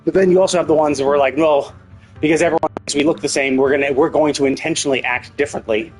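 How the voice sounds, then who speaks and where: A man with a husky voice giving casual, rambling livestream commentary, thinking aloud and often rephrasing his own questions. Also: on the high side, steady tone, quick pace, faint music behind, rough recording. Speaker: livestream host.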